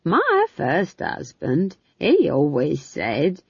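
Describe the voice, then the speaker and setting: A jolly old witch, played by a woman narrator, drawls her bawdy folksy talk in a cracked, husky voice. The storybook delivery swoops freely, on a slightly dull recording. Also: sits low, huge pitch swings, drawn-out words, no echo, full character voice. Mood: gleeful, reminiscing. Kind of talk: audiobook character voice.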